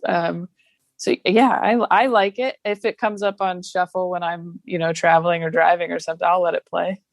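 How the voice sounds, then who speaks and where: A woman talking about her own work in a loose, bubbly way, often breaking into laughter. Her voice sits a little low, and it is recorded very dry with no room sound. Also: no room sound. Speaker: guest comedian. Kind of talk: casual podcast chat over video call. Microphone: remote video call mic.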